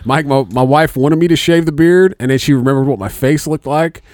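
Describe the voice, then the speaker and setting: A man's voice: on the high side, brisk, darkish tone, no room sound, clean studio sound. A man in his thirties hosting a podcast, swearing freely, laughing often and stammering through restarts. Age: 30s. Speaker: podcast host. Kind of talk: crude casual banter.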